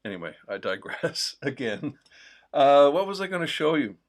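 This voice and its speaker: A man with a mild Newfoundland accent, hosting a livestream in a casual, rambling, digressive chat full of pauses. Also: fairly high pitch, sing-song. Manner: digressive, with many pauses and fillers. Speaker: host of a livestream.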